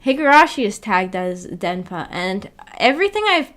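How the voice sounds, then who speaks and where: A woman's voice: medium pitch, very animated, moderate pace, a bit bright. A woman hosting a livestream, chatting casually in a musing, hesitant, giggly way. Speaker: livestream host. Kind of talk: casual livestream chat about a visual novel.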